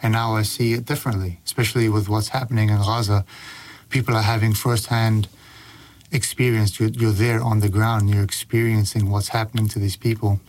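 Low-voiced young New man. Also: fairly quick. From Pakistan. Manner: relaxed and chatty, with frequent fillers and laughs. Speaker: guest author. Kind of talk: casual interview talk.

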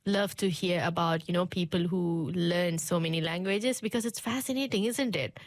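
A woman's voice: on the low side, moderate pace, dry recording. Enthusiastic woman host, dry close sound. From immigrant to New Zealand. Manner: enthusiastic, lots of "like" and "I mean". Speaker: host of the open day. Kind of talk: casual conversation.

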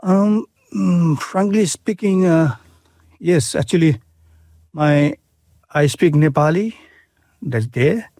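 A man's voice: on the high side, slow pace, pauses often, warm tone, dry recording. A middle-aged man from Bhutan, whose first language is his own ethnic language, answering interview questions casually and hesitantly, with many fillers and restarts.

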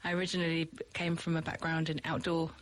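A woman's voice: low voice, completely flat delivery, fast. A middle-aged woman from the UK with a British accent talks easily about her teaching work. She fills her sentences with ums and laughs often. Her voice sits a little back from the microphone. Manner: chatty, with frequent laughs and ums. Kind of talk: podcast interview.

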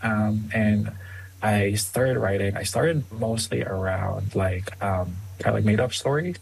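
A young man from the Philippines, first language Tagalog, answering interview questions as a guest author, with many ums and soft laughs. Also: fairly low pitch, a little slow, vocal fry, a little hiss or hum, low-quality recording.

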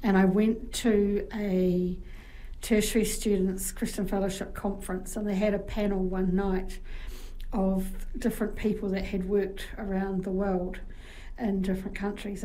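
A woman's voice: mid-range voice, warm tone, poor audio. A woman with a New Zealand accent, a guest in an interview, telling chatty anecdotes with frequent fillers. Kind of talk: casual interview storytelling.

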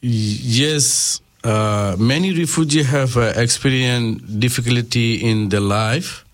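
A man from Myanmar answering radio interview questions as a guest, hesitant and halting. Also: mid-range voice. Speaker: health navigator guest.

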